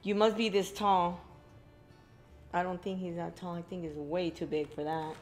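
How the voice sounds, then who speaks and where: A woman's voice: on the low side, level tone, normal speed, soft background music. A middle-aged woman reading a story aloud to young children, expressively, with exclaimed asides to her listeners. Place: indoor library room with bookshelves.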